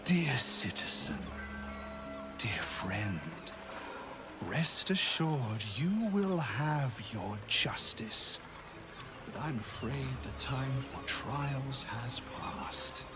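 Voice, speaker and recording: A man playing a theatrical zealot in a video game, rousing a mob with grandiose, accusing, drawn-out oratory. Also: mid-range voice, expressive, loud music behind the voice. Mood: menacing, righteous. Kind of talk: dramatic video game acting.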